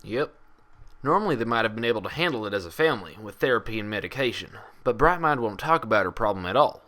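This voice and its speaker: A man's voice: on the low side, lively intonation, fast talker, sharp tone. A man voicing a frank, proud, friendly female pony doctor with a folksy way of talking, in a story reading. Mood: frank, explaining.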